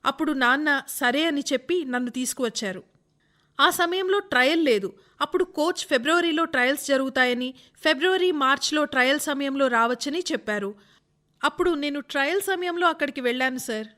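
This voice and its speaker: A woman acts out a young female athlete in a dubbed radio interview. Her voice is very high and sharply bright. She answers earnestly and respectfully, like an eager junior addressing an elder. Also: brisk. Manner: deferential, earnest. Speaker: reader voicing an interviewed athlete.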